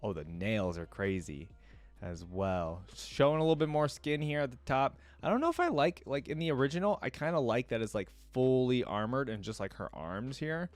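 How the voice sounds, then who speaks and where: A man's voice: mid-range voice, very animated, moderate pace, no room sound. A man hosting a reaction video, talking casually and thinking aloud as he addresses his viewers.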